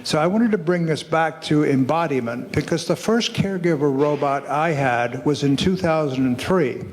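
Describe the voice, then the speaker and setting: A man speaking informally to an audience as an academic panelist, halting and repeating words as he goes. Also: fairly high pitch, a little hiss or hum. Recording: echoey hall with long reverb.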